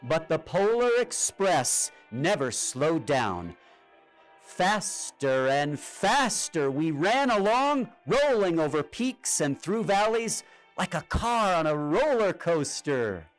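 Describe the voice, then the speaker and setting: A man narrates a children's storybook with expressive drama. His fairly high voice swings widely in pitch and takes its time, with music playing behind it. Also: slow, poor audio. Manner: expressive, dramatic read-aloud delivery. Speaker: storybook reader. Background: music and sound effects.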